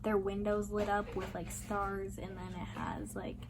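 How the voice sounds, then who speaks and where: A woman's voice: mid-range voice, little pitch movement, measured pace, a crowd in the background. A young American woman, an exchange student, chatting casually with many fillers and little laughs. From USA. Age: young adult. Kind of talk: casual chat. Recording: noisy home recording.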